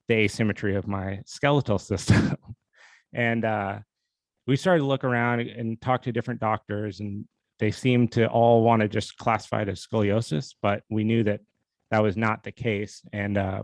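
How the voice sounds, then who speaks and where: A man's voice: fairly low pitch, no echo. A young man hosting his own podcast, telling a personal story in a candid, self-deprecating, casual way. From USA. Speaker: podcast host.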